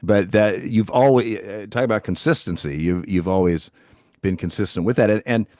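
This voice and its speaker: Give me a low-voiced middle-aged man who jokes and stammers in a dry, close recording. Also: darkish tone, dry recording. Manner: joking and stammering, quick laughs. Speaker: retired umpire. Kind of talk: casual chat.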